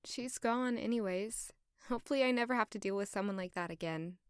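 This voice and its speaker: A woman playing a kind, forgiving peasant maid in an audio drama, gentle and earnest. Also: medium pitch, brisk, clear, resonant tone, no room sound, clean studio sound, lightly acted.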